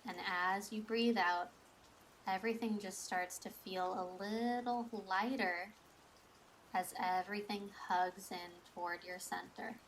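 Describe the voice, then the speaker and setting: A woman leading a guided breathing meditation as an instructor, in a soothing, measured, instructional manner. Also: medium pitch, steady tone, a bit bright, slight background noise, low-quality recording.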